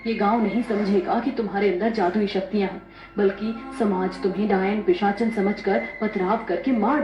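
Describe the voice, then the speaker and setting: A woman's voice: medium pitch, lightly acted. Woman playing anxious village mother, echoey. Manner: earnest, pleading. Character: anxious, protective poor village widowed mother. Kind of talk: melodramatic story dialogue. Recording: echoey, dull, music behind.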